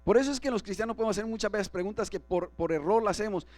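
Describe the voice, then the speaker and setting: Man, pastor, Spanish sermon, high voice, dry sound, asks for agreement. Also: rapid-fire, a little mellow, no echo. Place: church.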